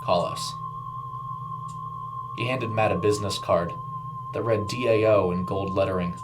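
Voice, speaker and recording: A man's voice: lowish voice, fairly even intonation, fairly quick. A man narrating a scripted horror short story in a dramatic storytelling style. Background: music under the voice.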